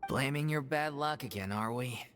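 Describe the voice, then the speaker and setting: An actress voicing a blunt, teasing theater troupe member in a game. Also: female voice, a bass voice, normal speed, in a large hall, soft background music. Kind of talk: game voice acting.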